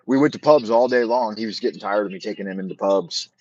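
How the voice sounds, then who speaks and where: A man's voice: lowish voice, quick pace, darkish tone, breathy edge, no room sound, lo-fi sound. A middle-aged man chatting on a casual live stream, rambling with frequent restarts and repeated words, and speaking bluntly. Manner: blunt, swears freely. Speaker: livestream host.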